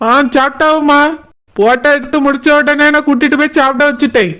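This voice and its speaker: Man acting a high-voiced, dutiful village son. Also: fairly even intonation, fairly quick, sharp tone. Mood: busy, obliging. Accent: Kanyakumari Tamil. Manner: obliging, practical. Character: dutiful, practical adult son organizing transport. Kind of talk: village cartoon drama acting.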